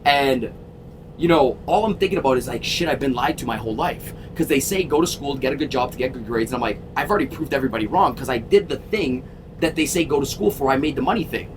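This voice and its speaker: A man in his thirties from Toronto, a sales coach telling his story in a casual interview, energetic and emphatic, swearing freely. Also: fast. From Toronto, Canada. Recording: constant vehicle rumble.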